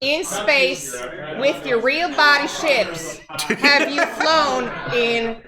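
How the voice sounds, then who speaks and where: A woman's voice: high voice, animated, extremely slow, over music. A woman describing and acting out her character at a tabletop roleplaying game, blunt, sardonic and sweary. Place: large hall at a gaming convention. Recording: live hall with clear crowd noise.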